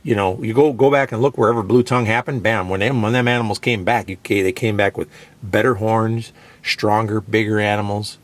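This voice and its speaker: Emphatic low-voiced radio host. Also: male voice, fairly even intonation, brisk. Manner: emphatic, repeats "guess what" and "you know". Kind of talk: opinionated casual radio talk. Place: radio studio.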